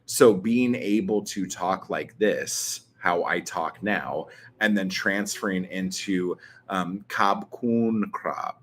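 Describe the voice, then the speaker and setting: A man's voice: low voice, takes it slowly, fairly bright tone, a little hiss or hum. A young man from Southern California with that accent, chatting casually in a vlog, rambling with plenty of ums and likes and the odd swear. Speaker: channel host answering viewer questions.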